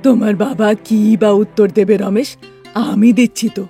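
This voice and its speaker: A commanding middle-aged mother-in-law from a cartoon drama, put on by a woman. She is curt and bossy in a dark, medium-pitched voice, over faint music. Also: fairly even intonation, moderate pace, natural voice. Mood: confrontational.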